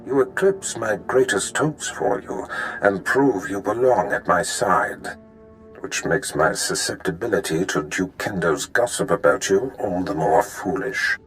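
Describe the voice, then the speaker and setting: A low-voiced villain lord for a cinematic game. He is a Sith master, menacing and calculating, speaking with measured, formal authority over music. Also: lively intonation, fairly bright tone, poor audio. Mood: measured, approving.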